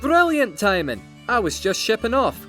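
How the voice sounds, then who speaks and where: A man playing an excitable, pushy young male fantasy creature in an audio drama, exclaiming his lines with bossy energy. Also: high-pitched, normal speed, faint music behind, full character voice. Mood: excited. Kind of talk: dramatized fan fiction audio acting.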